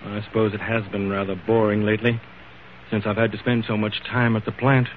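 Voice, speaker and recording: An actor playing an earnest businessman husband in an old-time radio drama, speaking in a measured, probing and hesitant way. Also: on the low side, flat delivery, close to an everyday voice. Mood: probing, restrained. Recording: tinny, noisy old radio recording.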